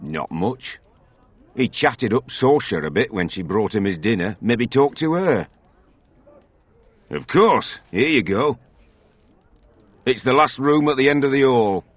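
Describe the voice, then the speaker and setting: An actor playing a wary, grudgingly helpful innkeeper in a video game, with a guarded, folksy manner. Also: male voice, medium pitch, animated, unhurried, long pauses, no room sound. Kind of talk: video game character acting.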